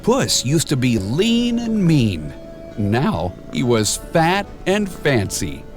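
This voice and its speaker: A man reading a children's storybook aloud in a measured, expressive storytelling manner, with clear and simple phrasing. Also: medium pitch, soft background music. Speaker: storybook narrator. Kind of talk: scripted children's read-along storybook narration.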